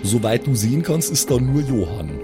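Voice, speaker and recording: A man narrating an improvised tabletop role-play story as game master, in a deliberate, suspenseful storytelling manner. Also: mid-range voice, big pitch swings, music in the background.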